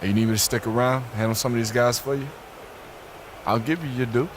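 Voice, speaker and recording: A man's voice: medium pitch, steady tone, brisk, slight background noise, close to an everyday voice. A man playing an easygoing, worldly pro basketball player who teases, in a naturalistic game cutscene. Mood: teasing. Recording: music behind the dialogue.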